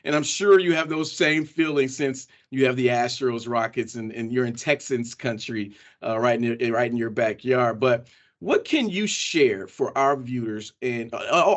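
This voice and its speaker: A man hosting an interview from his office over a video call, friendly and prone to restarting his phrases. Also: medium pitch. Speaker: host, county executive.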